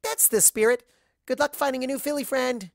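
A bouncy young storybook mare, played by a narrator, pitched up high. The delivery is chirpy and scatterbrained, cheerfully oblivious, with a dry close sound. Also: fairly quick, gravelly, no echo. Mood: cheery. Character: bubbly, scatterbrained, hyperactive young mare pony.

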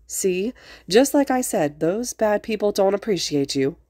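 A woman's voice: medium pitch, animated, a little slow, warm tone, dry recording, clean studio sound, voice pushed up, close to an everyday voice. Woman as a composed, teasing villain. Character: composed, practical villain playing along with boy.

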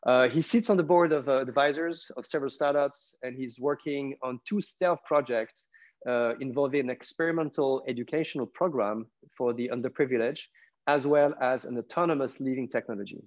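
A man in his forties hosting an interview webcast in a halting, deliberate way, over a video call. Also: mid-range voice. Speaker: webcast host. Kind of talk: conversational interview with a prepared introduction. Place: small furnished room at home. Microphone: wireless earbuds on a video call. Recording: dull video-call audio.

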